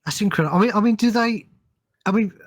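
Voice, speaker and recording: A man with a slightly high voice interviews a guest in a casual podcast chat. He sounds awed and feels his way haltingly into questions, restarting phrases. The recording is dry, with hardly any room sound. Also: no room sound. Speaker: podcast host.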